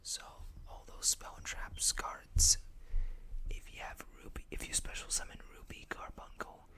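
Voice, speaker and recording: A whispered ASMR voice with a very slow, unhurried delivery. The host reads card text softly and drops in casual asides, with a bright, breathy edge to the whisper.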